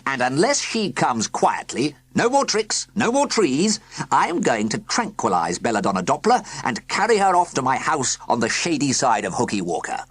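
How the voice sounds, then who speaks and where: A reader voicing a pompous wicked industrialist who announces his threats slowly and grandly. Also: medium pitch, huge pitch swings, slightly rough, voice pushed up. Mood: pompous menace. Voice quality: slow, pompous.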